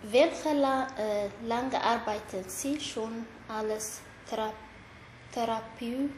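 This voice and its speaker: A woman asking interview questions in a formal, halting learner's way. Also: fairly high pitch, darkish tone. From Eritrea. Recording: echoey room, faint background noise.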